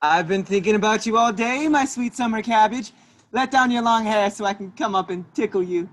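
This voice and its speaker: A woman's voice: medium pitch, a little fast, lightly acted. A woman playing a lovestruck, overprotective fairy-tale prince in a radio comedy, pleading and melodramatic. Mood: amorous. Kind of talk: irreverent radio play acting.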